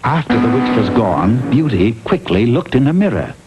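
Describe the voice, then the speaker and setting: A man's voice: medium pitch, big pitch swings, normal speed, loud music behind the voice, close to an everyday voice. A man playing a droll, theatrical storyteller narrating a comic fairy tale for a classic cartoon. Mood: droll storytelling. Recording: noisy old TV cartoon recording.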